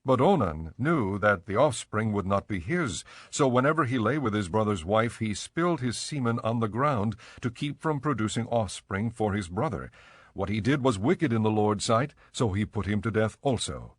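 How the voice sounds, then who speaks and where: A man reading scripted scripture narration in a measured, solemn storytelling manner. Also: on the low side, lively intonation, no echo.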